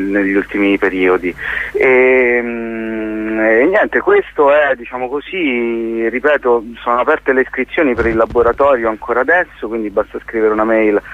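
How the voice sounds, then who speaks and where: A man giving an informal radio interview as a theatre organiser, explaining events in a halting, hesitant way. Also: fairly low pitch, no room sound. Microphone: phone call. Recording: tinny, narrow phone line on radio.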